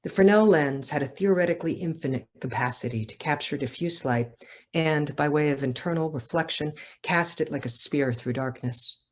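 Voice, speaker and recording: A woman's voice: low-pitched, normal speed. A woman author speaking at a literary event, with talk and reading from her own writing. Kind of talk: casual talk, then literary reading aloud.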